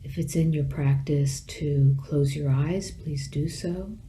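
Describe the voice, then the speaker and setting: Low, mellow, slow woman guiding meditation. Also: a little hiss or hum. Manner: gentle, measured phrases with long pauses. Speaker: meditation teacher. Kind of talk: guided meditation.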